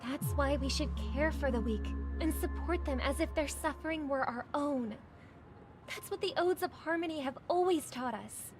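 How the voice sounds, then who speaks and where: An actress playing an earnest, compassionate young sister in a video game, arguing in formal, pleading phrases. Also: female voice, sits high, normal speed, lightly acted. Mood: earnest, troubled. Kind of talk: scripted video game drama. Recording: loud music behind the voice. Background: music.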